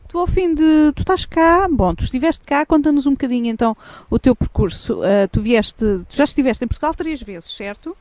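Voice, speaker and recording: A woman hosts a relaxed outdoor conversation by a windmill and teases her guest playfully. Her voice sits somewhat high. The recording is close and dry. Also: a little fast, dry recording. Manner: teasing, playful. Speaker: host. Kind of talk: casual interview chat.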